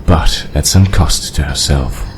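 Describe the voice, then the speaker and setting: A man playing an old dragon leader in an audio drama, calm and fatherly, with formal, measured speech. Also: a bass voice, slight background noise. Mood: calm, reassuring. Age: older.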